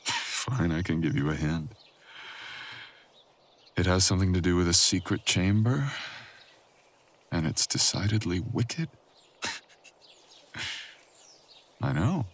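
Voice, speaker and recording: A man playing a brooding, possessive, teasing boyfriend in an intimate, unhurried ASMR audio roleplay. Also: low voice, slight background noise. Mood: sly, teasing.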